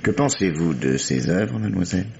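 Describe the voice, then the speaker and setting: A low male voice playing a courteous, gently mocking police commissioner in a radio mystery. He questions with shrewd politeness, on a muffled old recording with audible noise. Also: very animated, fairly quick, vocal fry, full character voice. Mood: courteous, probing. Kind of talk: French radio mystery play acting.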